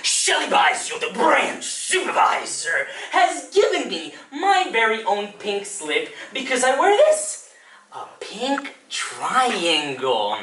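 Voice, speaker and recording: A man's voice: very high voice, wildly swooping pitch, takes it slowly, sharp tone, voice pushed up, full character voice. A man playing a flamboyant, chirpy children's librarian with a defiant streak, talking sing-song to kids in a theatrical stage monologue. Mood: wry, pointed. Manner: sing-song, exaggeratedly cheery, addressing small children. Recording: distant mic, roomy echo, faint noise.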